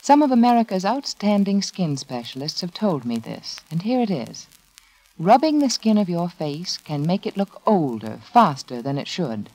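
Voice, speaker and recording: A woman reading a scripted 1940s radio advertisement in a measured, confiding, persuasive manner. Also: on the low side, very animated, a little mellow, poor audio. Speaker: commercial spokeswoman.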